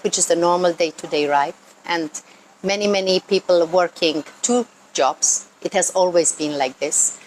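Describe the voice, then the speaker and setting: A woman's voice: lowish voice, low-quality recording. A woman from Croatia with a Croatian accent explains things off the cuff in English as a non-native speaker, earnest and emphatic, repeating words for stress. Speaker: local tourism worker. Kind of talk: unscripted explanatory talk to camera. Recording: noticeable ambient background noise.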